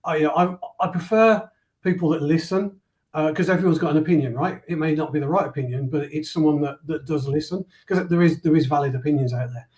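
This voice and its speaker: Modest man in his fifties, slightly high. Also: quick pace, a little mellow. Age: 50s. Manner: modest, hesitant, repeats words while thinking. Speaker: company founder and guest. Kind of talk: casual interview answers.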